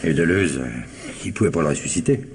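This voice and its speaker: A police commissioner in a radio crime drama. His voice sits very low, and he speaks in a measured, direct, probing way with dry irony. Faint noise and ambience lie behind him. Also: animated. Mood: reflective. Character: male police commissioner, steady, probing, dryly ironic interrogator.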